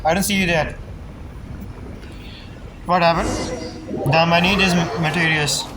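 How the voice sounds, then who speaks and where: Slow-talking woman streaming a game. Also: on the low side, fairly even intonation, background noise. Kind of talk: casual, sweary playthrough commentary. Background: game music.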